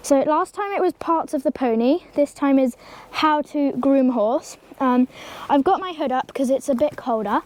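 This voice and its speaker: A woman's voice: high voice, normal speed, hardly any pauses, darkish tone. A teenage girl with a slight cold, casually explaining horse grooming in a stable yard, full of ums and likes. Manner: chatty, rambling, many ums and likes, talks to the pony. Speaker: horse-care vlogger. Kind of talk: casual how-to tutorial. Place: outdoor stable yard beside a pony.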